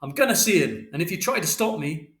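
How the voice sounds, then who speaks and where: Storybook angry dad performed by a male narrator: explosive, indignant, raised high in pitch, roomy echo. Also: animated, brisk, fairly bright tone. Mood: bitter, shouting. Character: furious, hurt, foul-mouthed father shouting in argument.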